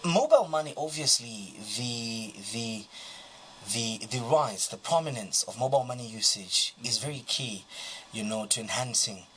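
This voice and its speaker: A Zambian man hosts a business interview. He speaks at a middling pitch in a wordy, conversational way, peppered with "you know". Faint noise sits behind his voice. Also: normal speed, fairly bright tone. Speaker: interviewer, show host.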